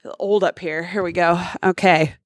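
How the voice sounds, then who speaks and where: A woman's voice: fairly low pitch, fairly even intonation, moderate pace, dry recording, clean studio sound. A woman moderating a conference Q&A, light and joking. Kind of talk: chairing a Q&A. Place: conference stage.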